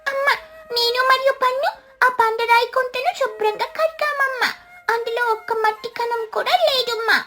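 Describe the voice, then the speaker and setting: Tiny, squeaky, very high-pitched bird child. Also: female voice, steady tone, moderate pace, over music. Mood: proud. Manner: childlike, earnest. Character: small, sweet, eager young bird child, keen to help. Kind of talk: Telugu children's cartoon dialogue.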